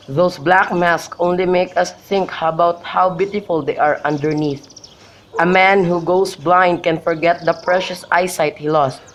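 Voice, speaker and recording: A man's voice: high-pitched, fairly bright tone, poor audio. A young man playing a lovesick, brooding youth in an amateur radio drama of modern-English Shakespeare, with birdsong behind him. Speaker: student actor. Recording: faint background noise with birdsong.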